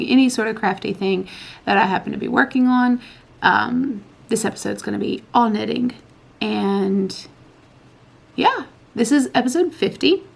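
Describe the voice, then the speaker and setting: Hoarse, gravelly-voiced woman chatting about knitting. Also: medium pitch. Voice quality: hoarse, recovering from lost voice. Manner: chatty, rambling, with fillers. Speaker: podcast host. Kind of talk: casual craft podcast chat. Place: home at night, laundry running.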